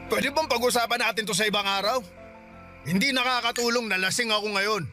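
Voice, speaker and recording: An actor playing a drunk, defensive boyfriend who dodges commitment in a radio drama. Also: male voice, high-pitched, a little fast, pushed and bright, soft background music. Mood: weary. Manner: defensive, dismissive. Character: Gerald, evasive drunk boyfriend.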